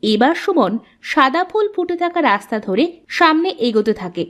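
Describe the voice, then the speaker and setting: High-pitched woman narrating a cartoon story. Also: sing-song, normal speed, faint music behind.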